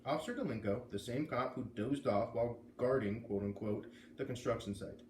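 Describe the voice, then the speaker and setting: A man reading a storybook aloud as expressive narration. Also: medium pitch, brisk. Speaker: storybook reader. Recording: echoey room, faint background noise.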